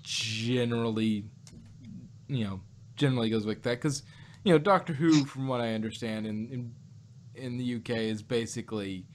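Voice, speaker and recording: Male podcast host, mid-pitched, loose rambling delivery, audible background noise. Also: moderate pace. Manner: rambling, repeats and rephrases himself. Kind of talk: casual review chat about sci-fi shows.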